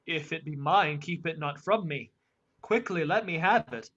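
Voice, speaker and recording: A man's voice: a little high, moderate pace, fairly bright tone, muffled recording. A young man playing a passionate, grieving nobleman bent on revenge in a radio Shakespeare play. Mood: grief-stricken. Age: young adult.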